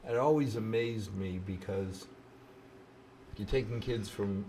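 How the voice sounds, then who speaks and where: A man's voice: lowish voice, a little slow, warm tone, slight background noise. A jovial, joking man in his sixties hosting a local talk show in a small studio. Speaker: host.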